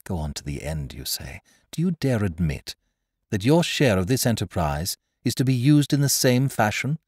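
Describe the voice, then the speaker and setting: A man's voice: on the low side, sing-song, normal speed, a little mellow, husky, dry recording, studio quality, pitched above the performer's own voice. Man voicing an earnest young woman. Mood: indignant. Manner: earnest, heated.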